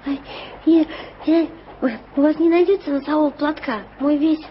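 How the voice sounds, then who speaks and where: A high, bright-sounding girl of about twelve, voiced by an actress, bold yet fumbling, tripping over her words and catching her breath. This is radio-play acting from an old, slightly dull studio recording with a faint hiss behind it. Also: fairly even intonation, moderate pace, close to an everyday voice. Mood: breathless.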